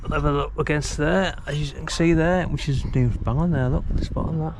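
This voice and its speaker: A man's voice: a little high, normal speed, background noise. A middle-aged British man giving a casual hands-on DIY tutorial, rambling and muttering asides as he works. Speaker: DIY vlogger demonstrating antenna mast installation. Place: outdoors by a brick house wall.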